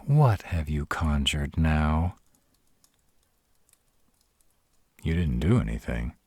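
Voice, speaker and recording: A man playing a gruff but tender, protective were-dragon boyfriend in a romantic fantasy audio roleplay, speaking to the listener with teasing care and hesitant pauses. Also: very deep voice, big pitch swings, darkish tone, no room sound, full character voice. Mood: wary, protective. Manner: tsundere, teasing yet caring, hesitant pauses.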